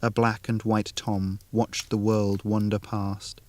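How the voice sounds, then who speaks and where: Low-voiced man narrating atmospheric fiction. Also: little pitch movement, takes it slowly, darkish tone. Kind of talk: atmospheric scripted short story narration.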